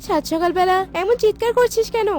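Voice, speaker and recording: Very high-voiced indignant village neighbour. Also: female voice, brisk, darkish tone. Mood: indignant, pleading. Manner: remonstrating, exclaiming. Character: indignant village neighbour woman, mature, reasoning. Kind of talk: cartoon voice acting. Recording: music behind the voice.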